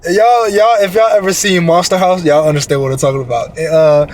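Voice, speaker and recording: A man with a slightly high voice rambles in slang-heavy casual talk, speaking directly to his audience. A faint background noise sits under his voice. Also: fairly even intonation, brisk. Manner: slangy, rambling storyteller who addresses the audience. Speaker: commentary co-host. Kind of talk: casual reaction commentary.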